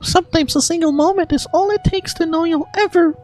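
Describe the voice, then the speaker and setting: Game casting: childlike girl goddess played by a performer, very high, soft, wise, earnest. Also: a little fast. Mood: gentle.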